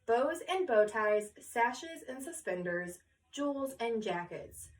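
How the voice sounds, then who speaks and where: High-voiced woman reading a children's storybook. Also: distant mic, poor audio. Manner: expressive, deliberate phrasing on lists. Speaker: read-aloud storyteller.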